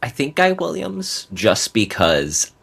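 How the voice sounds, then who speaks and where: A man's voice: mid-range voice, huge pitch swings, slow pace, creaky, a bit bright, husky. A man giving considered, articulate opinions in a casual podcast discussion.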